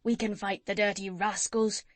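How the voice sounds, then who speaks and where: A woman's voice: mid-range voice, little pitch movement, normal speed, no room sound, close to an everyday voice. A woman doing a scrappy, fight-hungry dog. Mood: eager. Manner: eager, scornful. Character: brave, scrappy male dog, eager for a fight.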